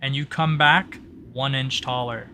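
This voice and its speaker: A man's voice: mid-range voice, steady tone, unhurried, pushed and bright, slight background noise. A man narrating a tabletop roleplay as game master, in a casual, informal storytelling way.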